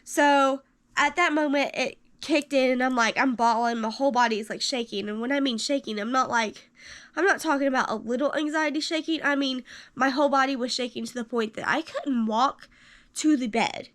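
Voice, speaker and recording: A young woman in her twenties telling a personal story to camera, animated, rambling with fillers and jumping up in pitch for quoted lines. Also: a little fast. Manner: rambling with many fillers and restarts. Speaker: vlogger.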